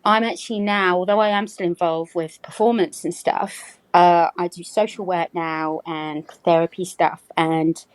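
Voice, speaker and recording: A woman's voice: lowish voice, steady tone, moderate pace, no echo, poor audio. A woman, from the UK, leaving a rambling recorded voicemail as a caller, with many ums. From United Kingdom.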